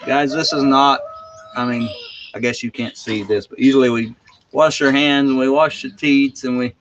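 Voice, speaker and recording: A man's voice: mid-range voice, fairly even intonation, moderate pace, lo-fi sound, background noise. A man chatting casually on a farm livestream, explaining milking and fishing. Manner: easygoing, explanatory, chuckling. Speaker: homesteading livestream co-host. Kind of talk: casual live-stream chat. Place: outdoors on a farm, milking a cow.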